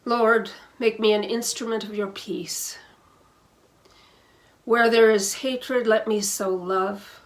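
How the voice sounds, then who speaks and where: A woman minister leading a guided prayer, measured and deliberate, pausing between phrases, with music behind her. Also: a little high, fairly even intonation. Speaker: minister leading a church service. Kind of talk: slow guided prayer and benediction.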